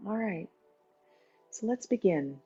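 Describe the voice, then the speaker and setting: A woman leading a guided meditation as its teacher, talking in an unhurried way with pauses between short phrases. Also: mid-range voice, expressive, warm tone, breathy edge. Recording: dull lo-fi sound, music behind. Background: music.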